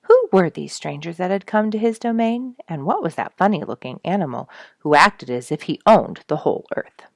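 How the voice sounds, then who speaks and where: A woman reading a nature story aloud as a storyteller, with expressive narration and deliberate, dramatic emphasis. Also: on the low side, gravelly, no echo, studio quality. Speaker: storyteller reading an audiobook chapter.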